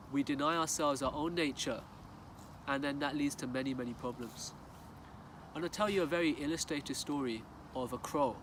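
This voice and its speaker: A man telling a moral story to viewers in a measured, earnest, rhetorical manner. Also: fairly high pitch. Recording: distant mic, loud outdoor traffic noise.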